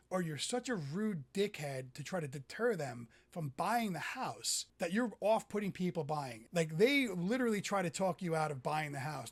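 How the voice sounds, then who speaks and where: Middle-aged guy from the us ranting on his vlog, kinda high voice, cusses a ton, says what he thinks. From United States. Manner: blunt, swears freely, rambling and opinionated. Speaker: vlogger. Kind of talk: casual, profane monologue.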